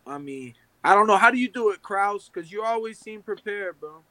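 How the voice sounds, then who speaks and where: Bright, high-voiced fighter, blunt and laid-back. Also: male voice, lively intonation, normal speed, no room sound, low-quality recording. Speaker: professional fighter. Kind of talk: casual podcast chat.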